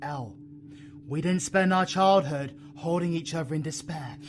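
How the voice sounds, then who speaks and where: Man acting brooding British supervillain, higher-pitched voice. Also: a little slow, slight background noise, natural voice. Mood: urgent, defiant. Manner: intense, swearing, slangy. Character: brooding, foul-mouthed male dark-magic supervillain with fierce resolve. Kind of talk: dramatic audio roleplay acting.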